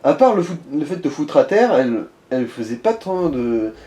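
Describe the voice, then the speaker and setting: Male gaming sidekick, soft dark tone, sweary slang, echoey room. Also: on the high side, big pitch swings, normal speed. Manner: crude, slangy, swearing freely. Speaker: gaming co-commentator giving advice. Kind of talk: casual gameplay commentary. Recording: roomy echo, music behind.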